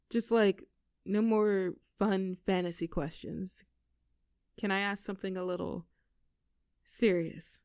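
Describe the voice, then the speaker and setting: A woman's voice: medium pitch, darkish tone, no echo, studio quality. A woman playing an affectionate, teasing girlfriend with a vulnerable streak in an intimate roleplay audio drama. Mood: hesitant, serious. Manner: teasing, halting when earnest.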